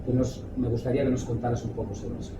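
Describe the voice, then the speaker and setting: A man's voice: medium pitch, fairly even intonation, fast, distant mic, lo-fi sound. Brief: male interviewer from Spain, conversational, off-mic in an echoey noisy room. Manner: conversational, asking questions.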